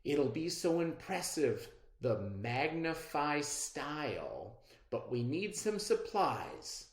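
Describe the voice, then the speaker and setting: A man voicing an earnest, excitable young girl inventor in a storybook, speaking expressively and emphatically. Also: on the high side, takes it slowly, reverberant, pitched above the performer's own voice, exaggerated voice. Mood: eager.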